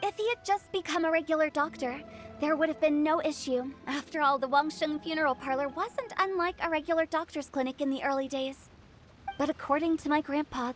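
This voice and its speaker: A woman's voice: sits high, natural voice. A woman playing a quirky young female funeral director in a video game, with a playful, theatrical storytelling manner. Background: music.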